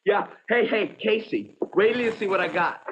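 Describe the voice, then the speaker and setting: Spoiled young playboy, old radio sound. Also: male voice, high voice, animated, moderate pace, noisy background. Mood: excited boasting. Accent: American. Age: young adult. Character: idle, spendthrift young playboy nephew, breezy and scheming. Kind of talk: 1970s radio drama acting. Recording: tinny band-limited old radio broadcast.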